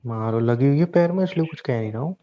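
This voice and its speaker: A man's voice: mid-range voice, animated, fast talker, lightly acted. A man playing a childish, clingy husband who whines, teases and cajoles his wife in a naturalistic audio drama. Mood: mock annoyed. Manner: whiny, cajoling, playfully stubborn. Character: childish, clingy, teasing husband wanting pampering. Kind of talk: naturalistic Hindi audio drama of couple banter. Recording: dull lo-fi audio.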